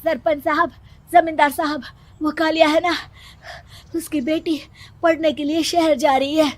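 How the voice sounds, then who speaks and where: A woman playing an excitable villager with urgent news in a cartoon story. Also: high-pitched, measured pace. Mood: alarmed, urgent. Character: excitable village man bringing alarming news.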